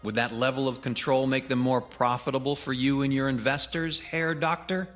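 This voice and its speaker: A man's voice: medium pitch, level tone, normal speed, fairly bright tone, faint music behind, close to an everyday voice. An actor playing a probing, stubborn investigator in a film drama, informal and sardonic as he presses his questions.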